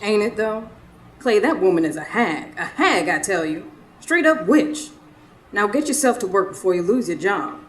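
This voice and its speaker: A woman acting as a caring but suspicious, sharp-tongued wife in a radio drama. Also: mid-range voice, animated, moderate pace, lightly acted. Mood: jealous, scornful. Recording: roomy live stage, faint noise.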